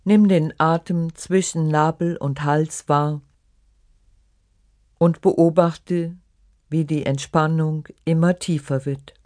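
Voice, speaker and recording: A meditation guide reading a scripted relaxation in short, measured, instructive phrases. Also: medium pitch, steady tone, a little mellow, dry recording, clean studio sound. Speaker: yoga nidra meditation guide.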